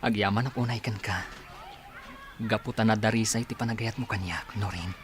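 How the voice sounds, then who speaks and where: A man's voice: fairly low pitch, fairly even intonation, moderate pace, lightly acted. A man with an Ilocano accent acting a humble, grateful, tender young man in an audio drama, polite and earnest. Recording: faint background noise.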